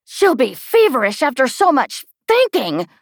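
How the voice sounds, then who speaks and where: A woman voicing a bossy, brisk queen in a storybook reading. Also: high voice, wildly swooping pitch, dry recording, studio quality, pitched above the performer's own voice, full character voice. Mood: anxious, commanding.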